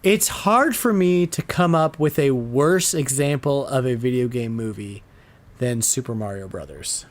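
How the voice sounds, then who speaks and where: A man's voice: medium pitch, unhurried. A man hosting a podcast in a casual chat, informal and emphatic, repeating himself to make a point. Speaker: podcast host.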